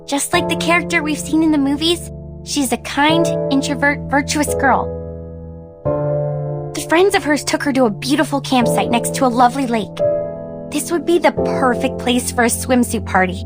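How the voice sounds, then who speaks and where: A woman's voice: high voice, moderate pace, over loud music, lightly acted. A woman playing an imaginative young girl who tells horror stories in an earnest, theatrical way for a drama. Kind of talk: dramatic storytelling in a scripted drama.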